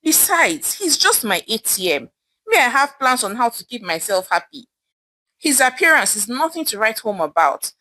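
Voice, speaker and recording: A woman's voice: on the high side, very animated, moderate pace, sharp tone, no echo, heavily acted, rough recording. A woman voicing a proud, scornful young gold digger in a folktale, boastful and dismissive, with a Nigerian accent. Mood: haughty.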